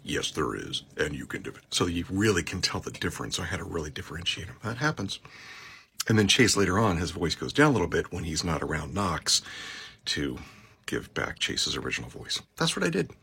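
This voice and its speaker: A man who narrates audiobooks, talking casually as he explains his voice acting work, with a gravelly, husky voice. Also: deep voice, big pitch swings, a little fast, sharp tone.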